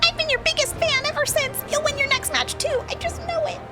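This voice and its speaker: A woman's voice: very high-pitched, steady tone, fairly quick, voice pushed up. A woman voicing a small, gushing young fan in a video game, earnest and adoring. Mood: starstruck, adoring. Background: loud game music.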